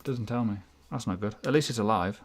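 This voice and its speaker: A man's voice: on the low side, brisk, a little hiss or hum. A man hosting a casual live question-and-answer stream, chatty and self-deprecating, rambling through asides. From United Kingdom. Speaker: crypto livestream host.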